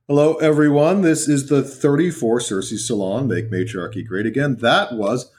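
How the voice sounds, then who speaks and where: A man's voice: mid-range voice, normal speed, darkish tone. A man hosting a podcast in a conversational style. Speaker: podcast host.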